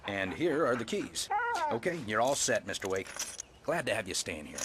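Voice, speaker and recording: A friendly cabin-renting ranger for a video game. He sounds folksy and helpful with a lively, varied pitch, over faint background noise. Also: normal speed. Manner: folksy, obliging.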